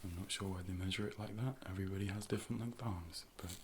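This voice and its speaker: A man in a soft, breathy ASMR role play as an optician, speaking calmly and often slipping into whispers, gently instructing and reassuring up close to the microphone. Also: low voice, fast talker, slight background noise.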